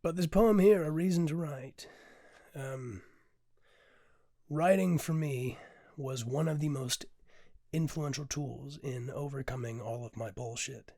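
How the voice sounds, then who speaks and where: Hoarse male podcaster, candid and raw, casual swearing, drops to a hush, dry close mic. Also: medium pitch, a little slow, dry recording. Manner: candid, unpolished, swears freely, many ums. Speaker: podcast host and poet. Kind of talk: casual reflective monologue with poetry reading.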